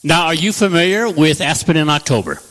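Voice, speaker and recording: A man's voice: on the high side, expressive, fairly quick, a bit bright. A man hosting a radio interview show, asking questions in a friendly, encouraging way. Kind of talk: casual interview chat.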